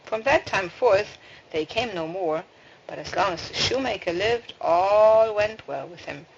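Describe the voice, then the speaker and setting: Female storybook reader, mid pitch with lively swoops, on a muffled, clearly noisy recording. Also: a little slow. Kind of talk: expressive fairy tale read-aloud. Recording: dull, noisy home recording with clicks.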